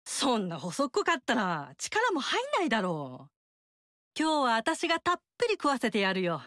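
A woman's voice: fairly high pitch, huge pitch swings, moderate pace, a bit bright, no room sound. An actress voicing a brash, motherly fire-goddess warrior woman in a studio game scene, talking with bold, teasing, big-sister swagger. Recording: dry studio recording.

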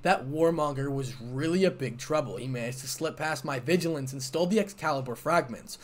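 A man's voice: mid-range voice, fairly quick, a little hiss or hum, lightly acted. A man voicing a cocky, laid-back male leader of fallen angels who talks casually.

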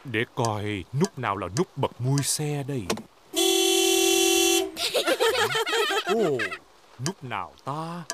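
A man's voice: on the high side, wildly swooping pitch, drawn-out words, pauses often, heavy background noise, heavily acted. A man voicing a jolly, bumbling middle-aged father pig in a children's cartoon dub, hearty and playful. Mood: jolly, puzzled. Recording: music behind the voice.

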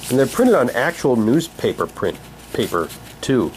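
A man's voice: mid-range voice, sing-song, moderate pace, slight background noise, poor audio. A man casually commenting and reading documents aloud as he presents an unboxing. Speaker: unboxing vlogger.

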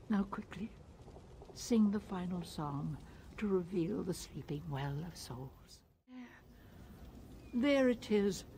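A woman as a slow, mellow alien mystic. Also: mid-range voice, a little hiss or hum, lightly acted. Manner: cryptic, commanding, measured. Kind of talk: video game dialogue acting.

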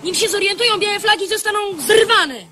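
A woman playing a loyal, fiery young boy soldier in a radio drama, excited and fervent. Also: extremely high pitch, a little fast, very noisy. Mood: fierce, urgent.

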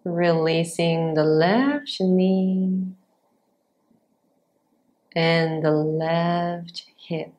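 A woman teaching yoga nidra as a guided meditation, giving short, measured instructions with long pauses between them. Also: fairly low pitch, a little mellow, muffled recording.

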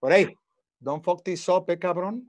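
An actor playing a gruff, profane father, mixing Spanish and English in a comedic table read. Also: high-pitched, moderate pace, natural voice. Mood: flustered, warning. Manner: switches between Spanish and English. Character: gruff Mexican father, profane, blustering, then grudgingly approving. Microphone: video call.

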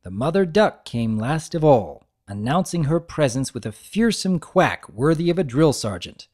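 A narrator reads fiction as a dramatic storybook performance. The pitch is middling and moves around a lot, giving an animated scripted delivery. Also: normal speed.

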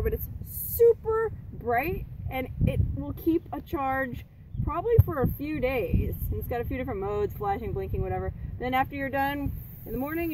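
A woman in her thirties chatting bluntly and casually about camping gear, swearing freely, at an outdoor campsite. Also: on the high side. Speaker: vlogger. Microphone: camera a few feet away. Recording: distant mic with outdoor noise.

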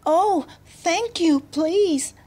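A woman voicing a tiny, gentle five-year-old girl in a storybook, speaking in a polite, pleading manner. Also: on the high side, lively intonation, unhurried, slightly rough, heavily acted. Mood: joyful.

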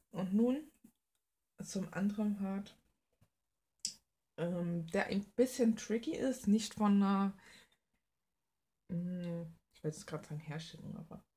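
A woman's voice: mid-range voice, a little mellow. A young woman rambles casually through her art process, informal and self-deprecating with some mild crudeness. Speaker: hobby artist and video host. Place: small cluttered room at home, indoors.

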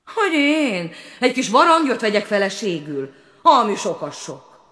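A woman voicing a brave but proud young prince who speaks louder and brusquely, often indignant. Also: medium pitch, very animated, pitched above the performer's own voice.

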